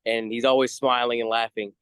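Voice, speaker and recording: A man with a mid-pitched voice co-hosts a relaxed fan review chat. He explains his points in a halting, rambling way. His voice is recorded very dry, without room sound. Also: clean tone, no room sound. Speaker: co-host of a review show.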